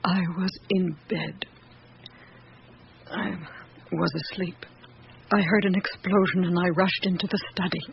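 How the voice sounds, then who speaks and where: An actress playing an old, devout female servant in a radio drama, confessing in halting, plain-spoken phrases. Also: on the low side, fairly even intonation, vocal fry. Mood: subdued. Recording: muffled old radio recording, faint noise.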